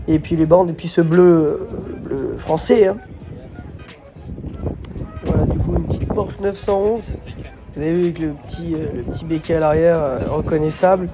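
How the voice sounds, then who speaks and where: A man's voice: a little high, fairly even intonation, moderate pace, over music, rough recording. A man with a slight head cold gives casual vlog commentary as a host, hesitating and repeating words as he goes.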